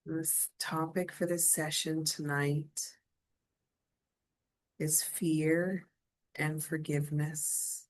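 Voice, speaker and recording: A woman with a low voice leads a guided meditation at an extremely unhurried pace. Her intonation stays quite flat and steady, and her delivery is gentle and soothing, softly inviting the listener along.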